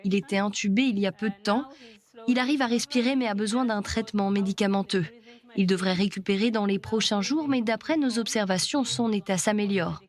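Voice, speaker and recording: A woman reading a voice-over translation of a doctor's words in a plain, steady way. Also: medium pitch, a little fast.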